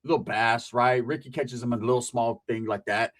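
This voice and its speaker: A man's voice: medium pitch, fairly even intonation, moderate pace, fairly bright tone. A man telling a rambling, profane comic story about family, tagging his lines with "right?".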